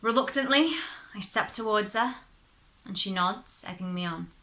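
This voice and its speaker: A woman reading a short story aloud as expressive narration, with dramatic, deliberate phrasing. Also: medium pitch. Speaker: story reader.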